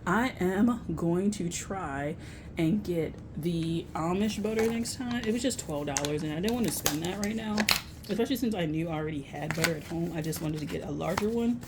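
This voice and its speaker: A woman's voice: fairly low pitch, moderate pace, background noise. A young American woman chatting casually and candidly to camera as a vlogger, with informal, slangy phrasing. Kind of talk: casual grocery haul chat to camera.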